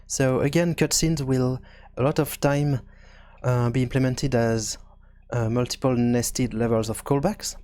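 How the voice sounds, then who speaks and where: A man presenting an unscripted programming tutorial, hesitant and halting as he thinks aloud. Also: mid-range voice, steady tone, darkish tone.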